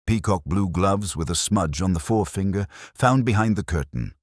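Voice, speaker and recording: Man acting shrewd police inspector, very deep voice, mild, deliberate, animated rhetorical phrasing, detective storybook. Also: no echo, studio quality. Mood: pressing, rhetorical. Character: mild, shrewd male police inspector, calmly exposing culprits. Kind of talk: dramatised mystery story dialogue.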